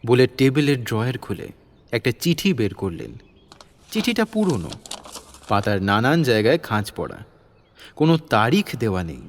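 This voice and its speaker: A man's voice: mid-range voice, huge pitch swings, moderate pace, long pauses. A man narrating a scripted detective story as its narrator.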